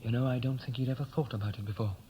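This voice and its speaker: An actor playing a defiant, independent adult son, wounded, in a 1960s radio play. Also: male voice, mid-range voice, steady tone, racing, mellow, close to an everyday voice. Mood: wistful, bitter. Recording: hissy 1960s radio recording.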